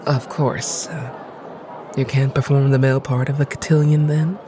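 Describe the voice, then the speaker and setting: A woman playing a haughty, cynical noblewoman in an audio drama, with an arch, aristocratic and deliberate manner. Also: extremely low pitch, fairly even intonation, dark tone, a faint crowd. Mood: coy, needling. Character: haughty, cynical, insistent noblewoman, lady of the house.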